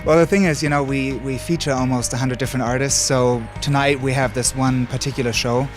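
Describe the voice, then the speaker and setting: Brief: man from Germany, gallery owner, friendly and rambling, music clearly under him. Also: medium pitch, steady tone, brisk. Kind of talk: casual interview.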